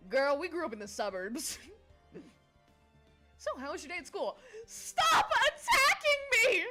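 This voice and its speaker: Giggly high-voiced young woman streamer. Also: sing-song, unhurried, loud music behind the voice. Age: young adult. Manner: giggly, scatterbrained, jumps between topics. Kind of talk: casual livestream chat.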